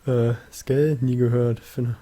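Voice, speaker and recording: A man's voice: medium pitch, level tone, slow pace, mellow, a little hiss or hum. A man giving casual, laid-back commentary as he plays a video game, muttering dry reactions. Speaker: let's play host. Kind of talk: casual gameplay commentary in German.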